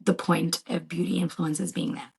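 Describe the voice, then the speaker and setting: A young woman co-hosting a podcast as a content creator, slightly croaky after karaoke and enthusiastic in casual chat. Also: on the low side, little pitch movement, darkish tone. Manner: enthusiastic, quick to agree.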